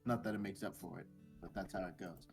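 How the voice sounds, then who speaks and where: A man's voice: low-pitched, fairly even intonation, fast. A man doing a casual, jokey read-aloud of visual novel dialogue, dropping informal asides. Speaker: co-reader in a visual novel let's play. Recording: music playing behind the voice. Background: guitar music.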